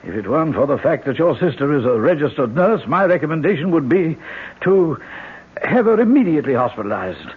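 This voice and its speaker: Grave country doctor, higher-pitched voice, measured and formal, old muffled radio drama sound. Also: male voice, big pitch swings, husky. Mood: grave, insistent. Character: frank, grave country doctor, a grown man. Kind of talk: 1970s radio drama acting.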